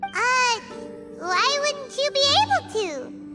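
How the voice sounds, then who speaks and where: A woman's voice: extremely high pitch, sing-song, slow, heavily acted. A woman voicing a small, eager, childlike fairy companion in a video game, with music behind. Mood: curious. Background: game background music.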